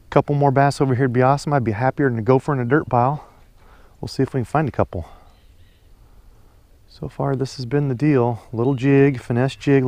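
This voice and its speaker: A man's voice: fairly high pitch, darkish tone, no echo. Young male fishing vlogger, chatty and folksy, outdoors, head-cam, dry. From Iowa, USA. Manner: chatty, upbeat, folksy sayings. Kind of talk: casual narration while fishing. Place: outdoors on a pond bank. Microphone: head-mounted action camera.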